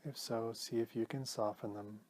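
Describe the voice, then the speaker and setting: A man leading a guided meditation as a teacher, speaking in a calm, gentle, measured way with pauses. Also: mid-range voice, slight background noise.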